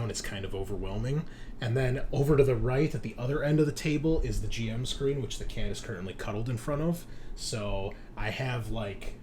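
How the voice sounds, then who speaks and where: A man hosting in a casual, chatty and joking way, as game master on an online call. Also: on the low side. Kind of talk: casual podcast introduction. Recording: noticeable background noise.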